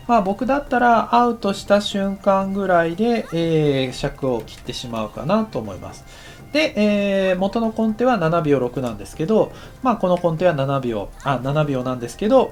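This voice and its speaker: A man giving a casual instructional lesson in a conversational manner, as a director explaining his work step by step. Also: a little high. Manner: conversational, counts aloud while working. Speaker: animation director teaching.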